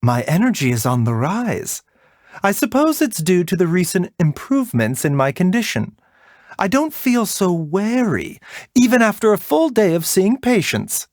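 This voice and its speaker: A man voicing a gentle, refined video game doctor who is polite, soft-spoken, caring and a little wry. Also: sits high, sing-song, no echo, studio quality. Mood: warm, reassuring. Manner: measured, soft-spoken, polite, lightly teasing. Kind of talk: video game character voice lines.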